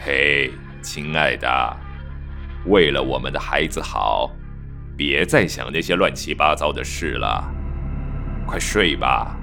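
A man's voice: very deep voice, takes it slowly, creaky, sharp tone, faint music behind, heavily acted. A man acting a controlling, patronising young husband who sounds outwardly tender, in an audio drama. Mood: exasperated, firm.

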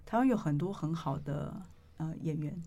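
A woman's voice: deep voice, animated, moderate pace, dark tone. A middle-aged woman with a Taiwanese accent, interviewing conversationally in articulate, discursive turns.

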